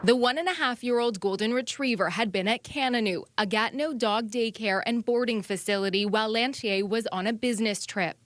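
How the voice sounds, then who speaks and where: A woman's voice: fairly high pitch, a little fast, hardly any pauses, no echo, clean studio sound. Woman TV reporter, scripted news delivery.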